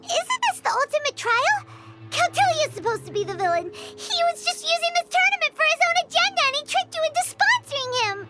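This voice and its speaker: A woman voicing a small, childlike fairy companion in a video game, squeaky, chirpy and earnest. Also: big pitch swings, a little fast, over music. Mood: alarmed. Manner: earnest, refers to self in third person.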